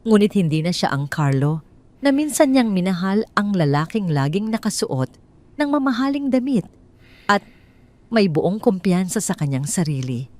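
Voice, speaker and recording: A woman with a Filipino accent narrating a scripted dramatic story in measured, sentimental phrasing. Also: medium pitch, very animated, a little mellow.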